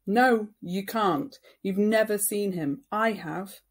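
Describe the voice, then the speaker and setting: A woman's voice: mid-range voice, slow, breathy edge. A woman voicing an exasperated, argumentative boy of about ten in a storybook, speaking emphatically and defensively.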